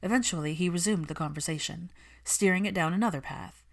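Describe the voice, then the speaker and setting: A woman's voice: lowish voice, a little fast. A woman reading scripted fiction as an audiobook narrator.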